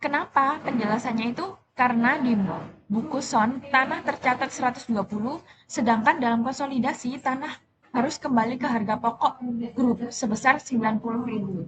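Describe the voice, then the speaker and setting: A young adult woman giving an explanatory class presentation as a student, in a halting, self-correcting manner. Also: high-pitched, background noise. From Indonesia.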